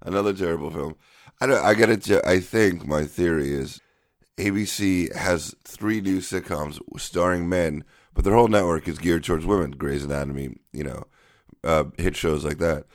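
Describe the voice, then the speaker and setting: A man co-hosting a casual comedy podcast, joking and laughing through quick back-and-forth chat. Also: low voice, no room sound, studio quality. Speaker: podcast co-host.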